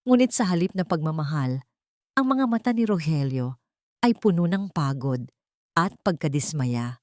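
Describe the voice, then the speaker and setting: A woman with a fairly low voice narrates a scripted story in a dramatic storyteller's style. The recording is dry, with hardly any room sound. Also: expressive, normal speed, a little mellow, dry recording.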